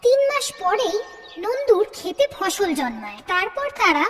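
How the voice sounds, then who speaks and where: Lady telling a kids fairy tale, really high sing-song lively voice, reverby hall sound. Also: moderate pace. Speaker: narrator. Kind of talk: scripted children's fairy-tale narration.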